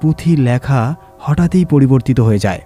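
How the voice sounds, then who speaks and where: A man narrating a scripted horror story in a measured, suspenseful, dramatic storytelling manner. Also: medium pitch, lively intonation, dark tone. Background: music.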